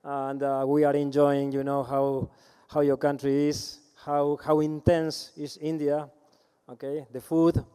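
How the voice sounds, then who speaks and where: A man in his fifties with an Argentine Spanish accent speaks at a conference, his voice mellow, smooth and slightly high. He talks in a halting, friendly way, restarting phrases and repeating words. He is heard through the PA of a large hall. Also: completely flat delivery. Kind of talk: conference presentation.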